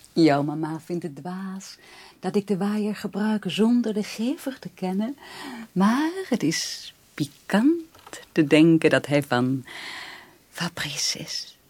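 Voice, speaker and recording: A woman's voice: lowish voice, darkish tone, heavily acted. Dreamy young woman in love, widely swooping voice. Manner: breathy, rapturous, half-whispered confiding. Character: dreamy, infatuated young aunt confiding in a small child. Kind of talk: 1980s Dutch radio drama acting.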